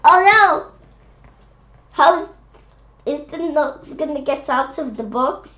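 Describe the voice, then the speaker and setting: A woman's voice: high voice, lively intonation, takes it slowly, fairly bright tone, highs cut off. A woman reading a children's book aloud, adding chatty, playful asides and speaking directly to young listeners.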